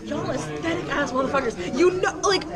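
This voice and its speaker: Loud, profane young woman ranting. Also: high voice, fairly quick, a loud crowd. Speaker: host. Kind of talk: casual chat, comic reacting.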